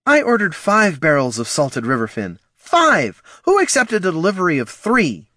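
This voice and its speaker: Male narrator doing a growly gnoll mom, raised pitch, short-tempered, barks orders. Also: huge pitch swings, moderate pace, bright, forceful tone, dry recording, studio quality, full character voice. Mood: snapping. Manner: snarling, barking orders. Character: fierce, harried female gnoll mother.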